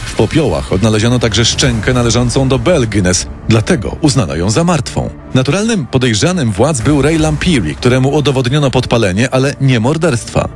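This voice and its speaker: A man's voice: mid-range voice, expressive, a little fast, few pauses. A man narrating a scripted true-crime story with dramatic storytelling delivery. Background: music.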